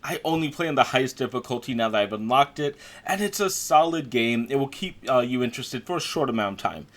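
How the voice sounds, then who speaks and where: A man's voice: medium pitch, expressive, quick pace. A man giving a conversational, opinionated voice-over review as a video game critic.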